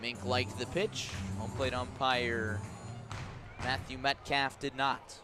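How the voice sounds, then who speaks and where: A man doing live radio play-by-play of a baseball game, descriptive and unhurried between pitches and punchier on the action. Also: flat delivery, music in the background. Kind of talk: live radio sports commentary.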